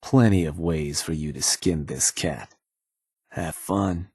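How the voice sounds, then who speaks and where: A cynical gang advisor from a video game, speaks in a very deep, husky voice. He is laid-back and slangy, with sly, folksy menace as he addresses his boss. The sound is close and dry. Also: slow pace, darkish tone, no echo, heavily acted. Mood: businesslike, encouraging. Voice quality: husky, low. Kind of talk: video game character dialogue.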